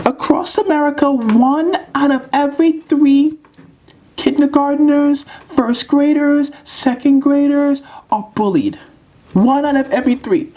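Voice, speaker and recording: A woman's voice: sits high, darkish tone. A woman voicing an earnest, dramatic little pre-K girl puppet in a children's puppet show, with emphatic, repetitive delivery.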